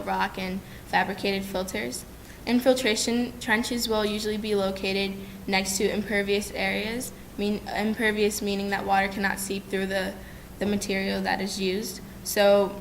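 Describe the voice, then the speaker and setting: Young woman presenting in a flat voice. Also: mid-range voice, a little hiss or hum, low-quality recording. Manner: steady, explanatory, some restarts and ums. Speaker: student presenter. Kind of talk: classroom presentation. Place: lecture room. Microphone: desk microphone at a podium.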